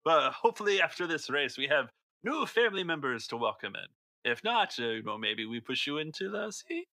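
Man acting boastful gang racer, jovial, pompous, theatrical, very bright forceful voice, tabletop game, dry sound. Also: on the high side, big pitch swings, brisk, dry recording, pitched above the performer's own voice, exaggerated voice. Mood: jovial, teasing.

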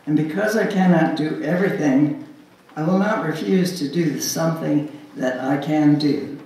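An older woman leading a church service, reading formally and deliberately from the pulpit. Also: sits low, mellow. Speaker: worship service leader. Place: church sanctuary, indoors. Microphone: pulpit microphone, recorded by far camera. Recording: very echoey, distant, faint room noise.

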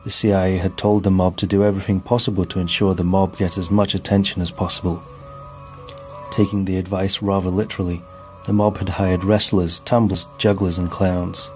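A man narrating a scripted documentary in a measured, formal manner. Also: deep voice, darkish tone. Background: background music.

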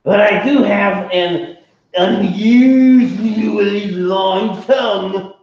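Mac, a big scruffy boy monster who slurps his words and whines when turned away, as a man puts him on. Slow, drawn-out lines in a roomy, echoey, slightly dull recording. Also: sits high, voice pushed up, exaggerated voice. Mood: whiny. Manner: slurping, whiny.

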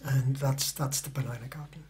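A man's voice: mid-range voice, fairly even intonation, normal speed, a little mellow, slight background noise. Hesitant man, non-native English speaker. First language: not English. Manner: hesitant, many fillers and restarts. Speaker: author. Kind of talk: interview answers.

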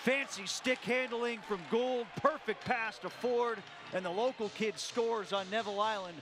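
High-voiced hockey play-by-play man. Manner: rapid calls that rise sharply on goals. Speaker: play-by-play announcer.

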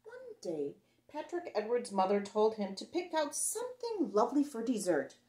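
Expressive middle-aged woman reading a storybook, roomy sound. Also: fairly high pitch. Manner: expressive, theatrical emphasis with dramatic pauses. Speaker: children's storyteller reading a picture book aloud. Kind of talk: dramatic read-aloud for young children. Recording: roomy echo on the voice.

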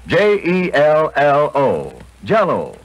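A man's voice: on the high side, wildly swooping pitch, slow pace, no room sound, soft background music. A man reading a scripted 1940s radio advertisement in a polished, emphatic salesman's manner. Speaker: commercial announcer.

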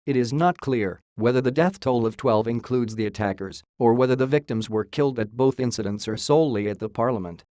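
A man's synthetic voice reading a scripted news report in a flat, read-out manner. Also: medium pitch, fairly quick, few pauses, no room sound, clean studio sound. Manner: flat synthetic read-out, spells out abbreviations and symbols. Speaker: news reader.

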